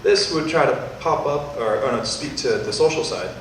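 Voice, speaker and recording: A man's voice: medium pitch, moderate pace, fairly bright tone, slight background noise. Rambling young man in echoey hall. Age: young adult. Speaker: student startup presenter. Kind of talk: pitch Q&A answer. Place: university event hall. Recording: echoey hall, distant mic.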